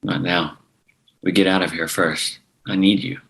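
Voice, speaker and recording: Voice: sits low, fairly even intonation, unhurried, a bit bright, rough recording. An actor reading a defiant young ranch heir at a screenplay table read, moving from pleading to fierce commands. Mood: tense.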